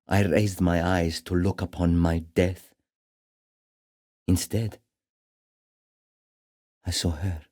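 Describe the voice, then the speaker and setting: A man playing a battle-scarred, lovelorn gladiator champion, delivering a slow, intense game monologue in a gravelly, husky voice. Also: deep voice, heavily acted. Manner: slow, brooding, intense, hushed at times. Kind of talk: dramatic first-person monologue for a game.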